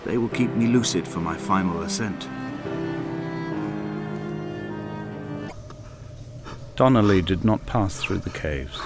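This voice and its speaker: An actor playing a lone, grieving castaway, narrating in a measured, solemn voice as a literary game monologue. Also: male voice, sits low. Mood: pained, resigned. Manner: brooding, measured, solemn, poetic delivery. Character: lone, grieving, injured male castaway narrating his island exile. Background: prominent music.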